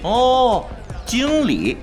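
Give the part of a naive young sidekick in an audio drama. He is brash and cheerful, blustering with great earnestness. His pitch leaps around freely, and music plays behind him. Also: wildly swooping pitch, drawn-out words, heavily acted. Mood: naively friendly.

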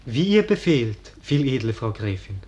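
An actor playing a deferential young page in a 1940s German radio drama, with a courtly, servile manner. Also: male voice, mid-range voice, sing-song, normal speed, a little mellow, lightly acted. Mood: dutiful. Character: young page boy, deferential, eager to obey.

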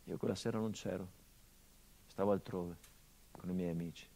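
A man's voice: low voice, steady tone, moderate pace, dark tone. Brooding man in a monologue. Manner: intimate and brooding, swelling into anguished outbursts. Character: brooding middle-aged son haunted by his father's murder. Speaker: stage actor. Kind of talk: theatrical radio monologue.

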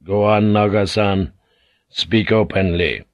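A man voicing a calm older warlord who speaks deliberately and with quiet command. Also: fairly low pitch, dry recording, lo-fi sound. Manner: deliberate, controlled.

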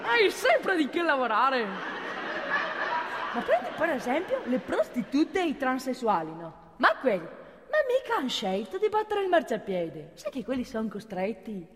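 An actress playing a pious, chatty do-gooder with a knowing, teasing streak in a theatrical radio monologue. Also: female voice, high voice, wildly swooping pitch, a little slow, noisy background, exaggerated voice. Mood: pious, earnest. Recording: reverberant theatre hall.

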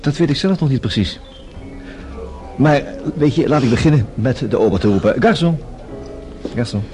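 An actor playing a flirtatious, persistent investigator in a radio play, with a husky voice and a teasing, smooth-talking manner. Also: male voice, mid-range voice, lively intonation, normal speed, a little mellow, soft background music, natural voice. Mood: suave. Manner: teasing, smooth-talking, hesitant little interjections. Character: flirtatious, persistent male private investigator Bill Summers.

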